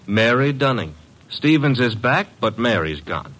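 Radio drama sidekick: brash, wisecracking legman, mid-pitched, sharp-edged, punchy and slangy. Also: very animated, measured pace, rough recording. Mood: brisk, eager. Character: brash, wisecracking male detective's assistant. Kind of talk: classic radio drama acting.

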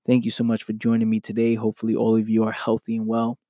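Slightly hoarse guy doing a solo motivational podcast, earnest, keeps saying right, dry close mic. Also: lowish voice, completely flat delivery, fast, mellow, no echo. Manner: earnest, repeats "right?" often. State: slightly hoarse from losing his voice. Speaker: podcast host.

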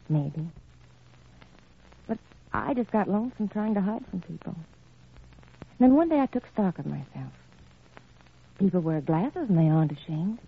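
An actress playing a friendly, encouraging young woman, gentle and reassuring, in old-time radio drama style. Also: medium pitch, gravelly, slightly rough, exaggerated voice. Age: young adult. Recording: tinny, band-limited old radio recording.

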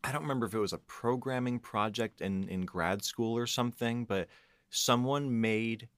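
A man's voice: mid-range voice, moderate pace, fairly bright tone, dry recording. A man telling anecdotes in casual chat as a podcast guest, acting out quotes as he goes. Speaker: podcast guest, composer and audio engineer.

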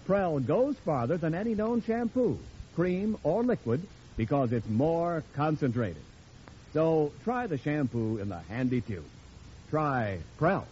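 A man's voice: fairly high pitch, warm tone, slight background noise. A man announcing in 1940s radio style, with a polished, carefully enunciated sales delivery. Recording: dull vintage radio recording.

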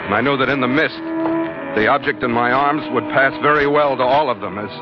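A man's voice: medium pitch, close to an everyday voice. An American actor playing a brooding, hard-boiled sea captain who narrates his adventures in a terse, world-weary 1940s radio style. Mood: grim, brooding. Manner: terse, world-weary, deliberate dramatic delivery. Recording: muffled old radio recording, loud music.

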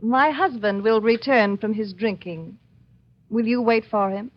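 An actress playing a gracious, coldly scheming colonial wife in an old radio drama, with a measured, coaxing, commanding manner. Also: female voice, on the high side. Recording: dull lo-fi old radio recording.

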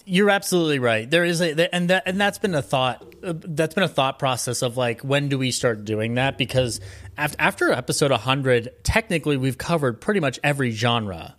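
Chatty man as a podcast guest, mid-pitched. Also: lively intonation, brisk, a bit bright. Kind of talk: casual chat.

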